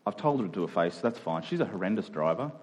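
A man's voice: lowish voice, expressive, quick pace, a little mellow, a little hiss or hum. A man preaching a conversational sermon, earnest, repeating key phrases for emphasis.

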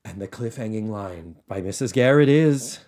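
A man's voice: lowish voice, lively intonation, normal speed, a little mellow. An American man hosting a casual podcast conversation, talking in a wry, playful and agreeable way. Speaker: podcast host. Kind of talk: casual chat, recapping a TV episode.

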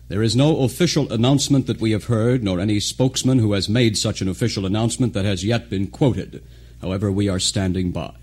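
A man, a radio news anchor announcing breaking news in a solemn, measured, formal way. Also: fairly low pitch, darkish tone. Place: radio studio.

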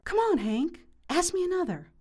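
A woman's voice: very high-pitched, sing-song, moderate pace, loud music behind the voice. A woman playing a self-important, eager bookworm, earnest and chatty, in an audio drama. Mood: eager, proud.

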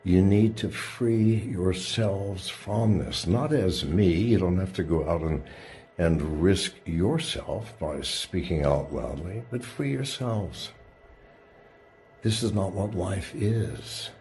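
A man's voice: low-pitched, takes it slowly, a little mellow. A man giving a dramatic monologue in a preachy, intense way, his voice jumping up in sudden rises. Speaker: lone speaker addressing listeners from a shielded room.

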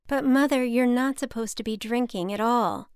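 A woman's voice: on the high side, normal speed, darkish tone, clear, resonant tone, no echo, clean studio sound, voice pushed up, close to an everyday voice. A woman voicing an anxious, dutiful adult daughter who pleads and placates, in an audiobook story. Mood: reproachful.

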